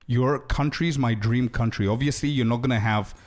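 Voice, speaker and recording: A man's voice: medium pitch, normal speed, a little mellow. A young man streaming a game, chatting loosely with his viewers and telling rambling stories. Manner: loose, chatty, talks to chat as "bro", trails into asides. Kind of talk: casual live-stream chat with viewers.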